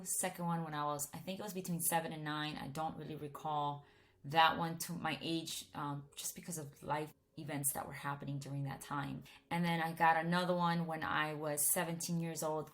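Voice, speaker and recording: A woman's voice: deep voice, little pitch movement, normal speed. A woman in her thirties from Miami gives a casual, conversational personal testimony to camera, often restarting her sentences. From Miami, Florida, United States.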